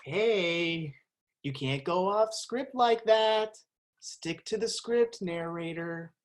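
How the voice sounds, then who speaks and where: A woman's voice: lowish voice, slow pace. A woman playing a flustered, stammering stage manager who scolds the narrator in a community stage play. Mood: scolding. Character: flustered female stage manager trying to keep the show going.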